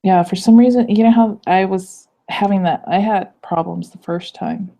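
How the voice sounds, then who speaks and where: A young woman in a student group video call, hoarse from illness, chatty and apologetic with lots of ums. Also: mid-range voice, normal speed, dark tone. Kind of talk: casual video call meeting. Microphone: computer mic on a video call. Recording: dull lo-fi call audio.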